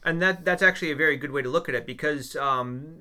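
A man's voice: on the high side, fast talker, bright, forceful tone. A man from the United States, co-hosting a casual podcast and chatting in a rambling way with fillers and restarts. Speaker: podcast co-host, history teacher.

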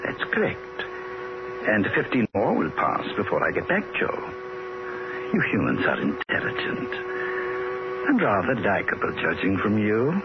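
An actor playing a courteous, precise alien emissary in a 1960s radio drama, genteel and mildly condescending. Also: male voice, lowish voice, huge pitch swings, unhurried, pauses often, full character voice. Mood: mild, thoughtful. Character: polite, mild alien emissary bent on pruning humanity. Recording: dull, hissy 1960s radio recording.

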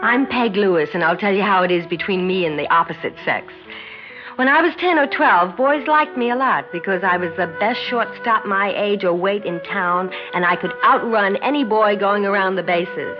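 A brash teenage tomboy athlete as a woman plays her in a 1960s radio drama. She is slangy, guarded and quietly wounded, with a middling pitch. The old recording is muffled, with clear music behind. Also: moderate pace, natural voice. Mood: wry, hurt. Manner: blunt, slangy, defensive. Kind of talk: 1960s radio drama acting with first-person narration.

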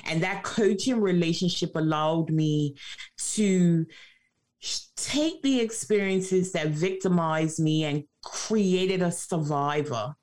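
A woman's voice: lowish voice, a little mellow. A woman speaking as a life coach guest in an interview, emphatic and motivational, repeating phrases for rhythm.

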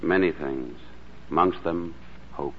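A charming, firm physician with a very deep voice. He speaks in a measured, paternal 1940s radio style. The old broadcast is dull with light noise. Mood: gently firm. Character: calm, charming, firm sanatorium doctor. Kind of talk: 1940s radio drama acting.

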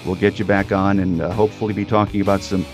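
A man's voice: low voice, little pitch movement, fast, darkish tone, soft background music. A man hosting a sports podcast, introducing segments and guests in a conversational style.

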